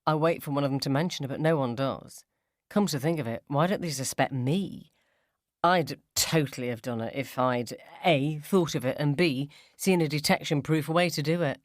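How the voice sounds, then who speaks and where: A woman's voice: deep voice, normal speed, no room sound, clean studio sound. A woman reading a first-person short story in a wry, conversational and sardonic way. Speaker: story narrator.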